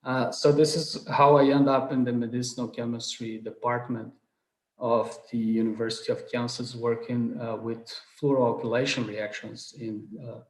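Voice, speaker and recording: A man in his thirties from southern Brazil, a non-native speaker giving an earnest online award lecture, full of hesitations and restarts. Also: mid-range voice. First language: Portuguese. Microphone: video call webcam. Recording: roomy video call audio.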